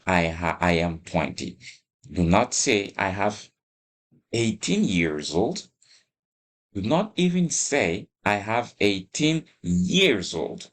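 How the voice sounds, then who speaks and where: Man, very low voice, slow delivery, English teacher, emphatic, repetitive, frequent check-ins. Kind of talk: instructional grammar lesson.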